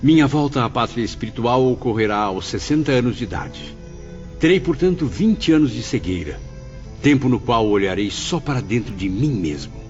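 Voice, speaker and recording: A man's voice: medium pitch, lively intonation, normal speed, a little mellow, lightly acted. Male actor as humble penitent spirit, music behind, muffled, solemn, heartfelt, formal audio drama delivery. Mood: resolute, troubled.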